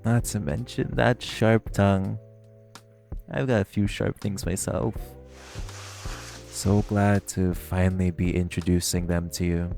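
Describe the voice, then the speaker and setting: Male actor as centuries-weathered male vampire, very low pitch, heavy vocal fry, cocky, teasing, flirtatious menace, intimate audio drama. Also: fairly even intonation, measured pace, a little mellow, faint music behind. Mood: menacing, flirtatious. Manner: smug, taunting, drawling, playfully menacing.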